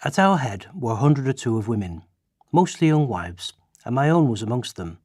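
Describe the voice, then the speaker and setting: A man playing an earnest radical orator and writer from history in a radio play, with deliberate, declamatory delivery. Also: medium pitch, sing-song, a little mellow, no room sound, studio quality. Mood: solemn recollection.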